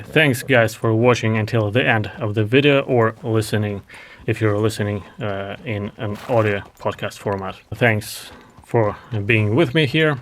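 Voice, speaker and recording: A man from Ukraine, a Russian speaker, hosting a heated commentary in a sarcastic, mocking tone. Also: lowish voice. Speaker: commentary host. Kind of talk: reaction commentary.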